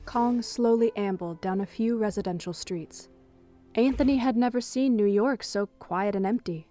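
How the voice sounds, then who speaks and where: A woman's voice: medium pitch, warm tone, no echo. A woman reading scripted third-person narration for an audio drama as its storyteller. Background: music.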